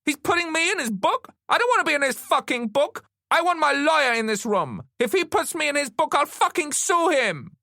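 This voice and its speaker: Man voicing a shrill, furious Japanese-American woman. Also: big pitch swings, a little fast, vocal fry, hardly any pauses, pushed and bright, no echo, studio quality, full character voice. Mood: furious outrage. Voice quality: high-pitched, shrill. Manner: indignant, demanding, sweary.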